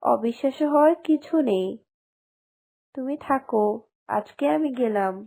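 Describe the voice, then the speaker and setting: Woman doing a kind gentle fairy for a cartoon, highish mellow voice. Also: animated, unhurried, gravelly, full character voice. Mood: gentle, consoling. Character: kind, gentle female flower fairy with magic. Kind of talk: cartoon voice acting.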